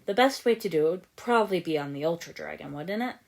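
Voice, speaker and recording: A woman's voice: fairly low pitch, lively intonation, fast, vocal fry. A woman voicing an easygoing, practical teenage male ninja in an audiobook story. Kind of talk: fan fiction audiobook character voice.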